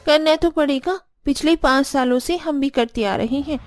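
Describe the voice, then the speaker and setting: A bossy elder sister-in-law from a family cartoon, voiced by a woman. Her pitch is high and she sounds resigned to the household's old rules. Light music plays beneath. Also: moderate pace, natural voice. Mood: resigned, firm. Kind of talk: cartoon story dialogue.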